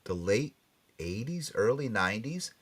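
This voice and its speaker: A man's voice: on the low side, sing-song, measured pace, husky. A middle-aged or older American man hosting a casual pop culture talk show, chatty and emphatic. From Norwalk, Connecticut, USA. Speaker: radio and podcast show host.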